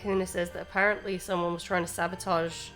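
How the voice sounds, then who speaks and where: A woman chats casually on a game stream. Her voice sits a little low and moves quickly, with loud game music behind it. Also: level tone, low-quality recording. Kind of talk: casual live stream chat.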